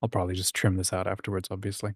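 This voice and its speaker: A man's voice: low-pitched, monotone, rapid-fire, husky, no room sound. Casual man hosting a livestream, dry sound. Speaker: host of a writing community livestream.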